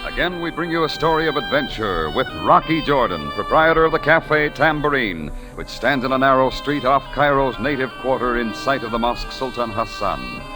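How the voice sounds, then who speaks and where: A man's voice: mid-range voice, animated, moderate pace, few pauses, music in the background, close to an everyday voice. A man announcing in formal, dramatic 1940s radio style, reading a scripted introduction on an old broadcast recording.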